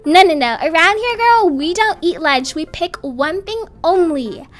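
A very high-pitched popular mean girl, acted by a young woman, bossy and possessive. She is snappy and condescending in teen slang, in a game roleplay with music underneath. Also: expressive, measured pace.

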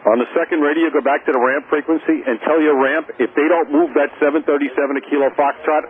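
A man's voice: a little high, fairly even intonation, fast talker. A man working as an air traffic ground controller, giving pilots blunt, exasperated instructions over the radio. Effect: radio transmission. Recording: tinny air traffic radio, faint static.

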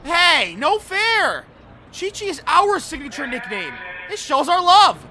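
Man acting a playful young inspector, bright voice. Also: extremely high pitch, big pitch swings, measured pace, soft background music, exaggerated voice. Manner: theatrical, teasing. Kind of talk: anime-style fan audio drama acting.

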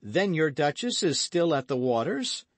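A man voicing a lively, quick-witted musketeer with a bantering, exclamatory manner in a storybook reading. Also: on the high side, no room sound, pitched above the performer's own voice.

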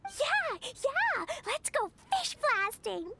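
Tiny eager game kid, super high cutesy voice, takes her time. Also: sing-song, very slow, gravelly, bright, forceful tone, a little hiss or hum, heavily acted. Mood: excited. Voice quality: childlike, cutesy. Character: sweet, eager little girl who loves blasting fish. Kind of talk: video game voice acting.

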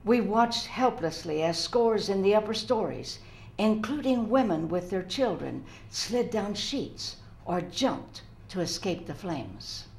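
A woman's voice: medium pitch, lively intonation, slow pace, vocal fry, a little mellow. An older woman in a dramatic reading, playing a somber survivor of an uprising.

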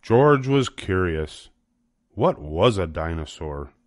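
An older man with a low voice reads a children's storybook aloud. The recording is dry and close, with the narration carrying the story. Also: big pitch swings, slow pace, dry recording. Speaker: storybook reader.

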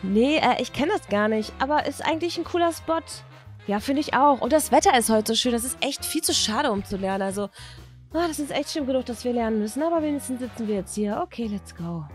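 Woman playing a dramatic, high-voiced teenage schoolgirl. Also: lively intonation, a little fast, soft background music, close to an everyday voice. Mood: gushing. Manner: exaggerated groaning, gushing teen slang. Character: chatty teenage schoolgirls, dramatic and easily bored. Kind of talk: improvised doll-play storytelling with character voices.

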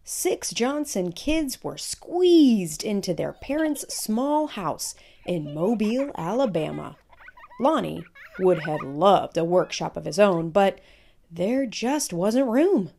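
Slow-paced woman reading a children's storybook. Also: mid-range voice, sing-song, gravelly, warm tone, a little hiss or hum. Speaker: children's read-aloud host. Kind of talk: storybook read-aloud narration for children.

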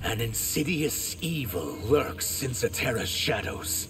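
A man's voice: medium pitch, animated, slow. A man playing an earnest, dutiful reptilian warrior in a fighting game, speaking formally. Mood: earnest, grave. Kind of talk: cinematic video game dialogue. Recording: reverberant, distant, under loud music.

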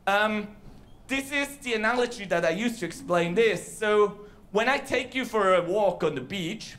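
Man, 30s, light high voice, casual science talk on stage, handheld mic. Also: expressive, moderate pace, a bit bright. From Switzerland. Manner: casual, explanatory, with fillers. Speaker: science slam presenter. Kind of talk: live science talk to an audience. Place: large dark theater stage. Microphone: handheld microphone.

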